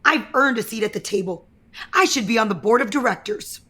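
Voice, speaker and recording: A woman's voice: a little high, a little fast, pushed and bright, husky. An actress playing a scheming, ambitious young woman in an audio soap opera, with a taunting, self-assured and confrontational manner. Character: scheming, brazen ambitious young woman, office power player.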